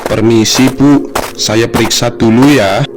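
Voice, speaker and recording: A man's voice: mid-range voice, steady tone, measured pace, music in the background, natural voice, rough recording. A man voicing a polite, businesslike doctor in a cartoon. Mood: professional. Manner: polite, matter-of-fact.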